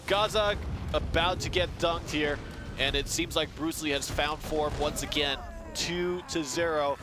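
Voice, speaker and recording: A man's voice: on the high side, a little slow, music in the background. A man co-casting an esports match, playful and laughing, with bursts of hype. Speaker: esports caster. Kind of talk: esports color commentary.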